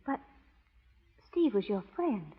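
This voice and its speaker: An actress playing a strong-willed, proper young frontier schoolteacher in an earnest old radio melodrama style. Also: female voice, mid-range voice, sing-song, slow, slightly rough, exaggerated voice. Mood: horrified, accusing. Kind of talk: 1930s radio melodrama acting. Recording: dull old radio broadcast recording.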